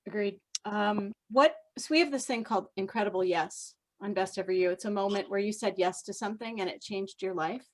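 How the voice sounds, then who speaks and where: A woman's voice: mid-range voice, a little fast, hardly any pauses, rough recording. A woman hosting a casual, conversational interview over a video call. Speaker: interviewer, conference host.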